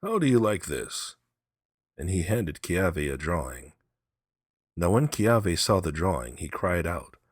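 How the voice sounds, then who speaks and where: Very deep, husky male storyteller. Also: dry recording, clean studio sound. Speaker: audiobook narrator, story reader. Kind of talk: scripted storybook narration.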